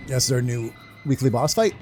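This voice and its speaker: A woman's voice: a bass voice, expressive, moderate pace. A woman giving casual playthrough commentary as a gaming host, informally reacting aloud. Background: game music.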